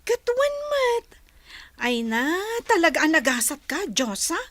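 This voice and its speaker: An actress in a radio drama playing an excitable young female friend who gushes and squeals. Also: high-pitched, unhurried, dry recording, exaggerated voice. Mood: excited. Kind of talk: broadcast radio drama acting.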